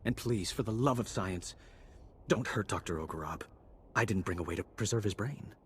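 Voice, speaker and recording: A man's voice: fairly low pitch, animated, moderate pace, a little hiss or hum, lightly acted. A man voicing an enthusiastic, nerdy engineer in a sci-fi game, talking in technical terms. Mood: pleading. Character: eager, geeky top engineer. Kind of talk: scripted game dialogue.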